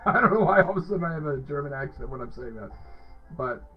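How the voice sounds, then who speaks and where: A man's voice: a little high, lively intonation, fast talker. A man hosting a casual hot sauce review, talking with enthusiasm and rambling through fillers and restarts. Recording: dull lo-fi sound with faint music.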